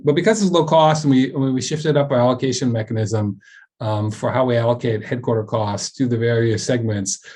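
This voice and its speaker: Halting man presenting company earnings. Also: medium pitch, a little mellow. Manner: halting, repeats words and restarts often. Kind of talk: semi-scripted business presentation on a web earnings call.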